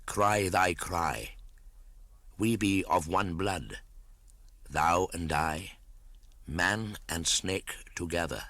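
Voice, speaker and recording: A huge, wise old snake, acted out by a male reader, speaks with very slow, drawn-out solemnity. The voice is cold-blooded and very deep, recorded close and dry for a storybook. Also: fairly bright tone, no room sound, full character voice.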